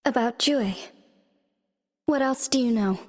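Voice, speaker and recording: An actress playing a calm, dignified young female magistrate in a game cutscene, speaking in a composed, formal and measured way. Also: on the high side, big-room sound. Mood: alarmed. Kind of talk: video game cutscene voice acting.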